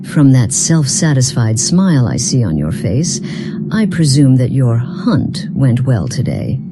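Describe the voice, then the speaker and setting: Very low-voiced, proud otherworldly being, acted by a woman. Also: very animated, over music, exaggerated voice. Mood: appraising, intrigued. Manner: formal, archaic, deliberate phrasing with measured pauses. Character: enigmatic, long-lived, part-human being, proud, formal and guarded. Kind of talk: scripted fantasy game dialogue.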